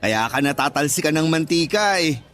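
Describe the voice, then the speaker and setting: An actor playing a teasing best friend and kitchen coworker, mocking and jokey, in a Tagalog radio drama. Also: male voice, on the high side, expressive, moderate pace, a bit bright.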